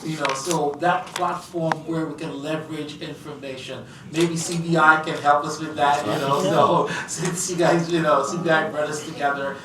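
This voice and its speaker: A man's voice: on the high side, fairly even intonation, moderate pace, hardly any pauses, recorded from a distance, a crowd in the background. A man moderating a community event, wrapping up casually with lots of "you know" and encouragement. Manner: casual, filler-heavy, encouraging. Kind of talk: closing remarks at a community event. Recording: echoey room recorded from afar.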